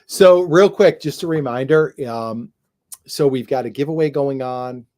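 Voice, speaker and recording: A man co-hosts a casual livestream with a chatty, joking manner. His voice sits at a middle pitch. He often trails off mid-thought and restarts. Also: measured pace, darkish tone. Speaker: co-host.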